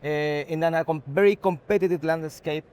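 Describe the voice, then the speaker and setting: A man with a Chilean Spanish accent and a fairly high voice speaks as an executive being interviewed. His English is a second language, with halting, non-native phrasing as he works through unscripted answers. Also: steady tone, darkish tone, clear, resonant tone.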